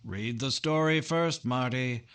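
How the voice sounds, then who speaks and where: A man playing a calm, wry district attorney, unhurried and dryly placating, in a 1950s radio crime drama. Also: medium pitch, smooth. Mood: calm, firm.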